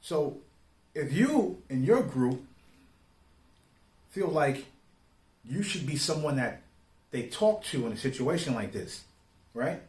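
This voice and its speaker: Echoey, higher-voiced man giving street-slang commentary. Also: very animated, a little mellow. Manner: street slang, emphatic, deliberate with rhetorical questions. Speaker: online commentator. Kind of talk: informal opinion commentary. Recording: roomy, echoing sound.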